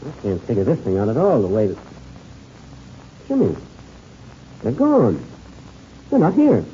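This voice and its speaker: An actor playing a hearty, excitable adult pilot in an old-time radio adventure serial. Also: male voice, medium pitch, measured pace. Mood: baffled, urgent. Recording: muffled, hissy old radio recording.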